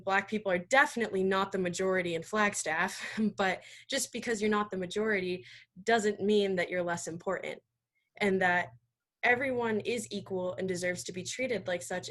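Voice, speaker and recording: An American woman speaking earnestly and emphatically as a panelist in an impassioned discussion over a video call, halting now and then. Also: mid-range voice, a bit bright.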